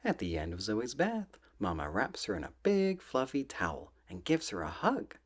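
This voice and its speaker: A soft-spoken man reading children's books aloud in a gentle, playful ASMR style, often dropping close to a whisper. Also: on the low side, huge pitch swings. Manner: gentle, drawn-out, playful storybook delivery.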